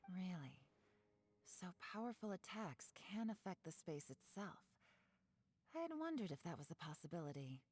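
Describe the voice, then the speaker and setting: A woman's voice: fairly low pitch, sing-song, moderate pace, a little mellow, husky. A woman playing a cool, shrewd, sardonic female operative in a video game, composed and dry in her pointed delivery. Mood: thoughtful.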